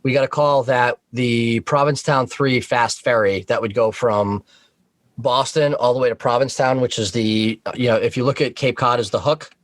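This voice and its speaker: A man tells work stories as a guest on a casual talk show. His language is informal and sweary, his pitch sits in the middle, and the sound is dry and close. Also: fairly even intonation, moderate pace, no room sound. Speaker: podcast guest, Coast Guard boat operator.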